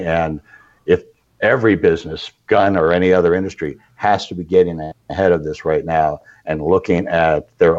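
A man's voice: low-pitched, darkish tone, highs cut off. A man answering interview questions as a crisis management consultant, earnest and emphatic with some stammered starts.

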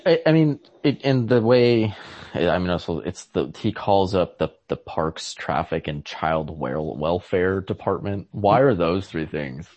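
A low-voiced man chats about books as a podcast co-host. He feels his way haltingly through each idea with an amused, casual air. The sound is lo-fi and very dry, with no room around the voice. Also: animated, a little mellow, no room sound. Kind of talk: casual literary discussion. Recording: lo-fi, dry close recording.

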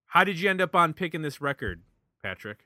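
Bright-voiced American man hosting a podcast. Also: on the high side, sing-song, a little fast, dry recording, clean studio sound. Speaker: podcast host. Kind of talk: casual music podcast talk.

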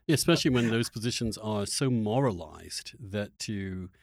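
A man hosting a conversational interview podcast, speaking articulately with halting phrasing and emphatic word choices. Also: fairly low pitch, animated, no room sound, clean studio sound. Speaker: podcast host.

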